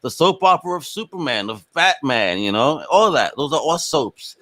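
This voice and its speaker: A man hosting a podcast in a casual, slangy chat style with lively banter. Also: on the high side, moderate pace, no room sound. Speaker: podcast host.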